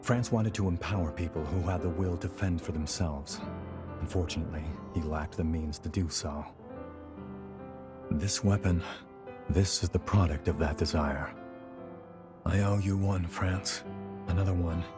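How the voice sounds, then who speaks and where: A man voicing a world-weary, loyal warrior in a video game, talking in a measured, casual and earnest way. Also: sits low, over music. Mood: reflective, resolute. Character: world-weary, loyal male revenant warrior searching for lost friend.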